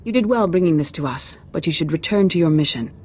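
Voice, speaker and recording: A woman's voice: deep voice, lively intonation, fast, darkish tone. A woman acting a composed, fair-minded Jedi grandmaster in a video game, speaking formally and with authority.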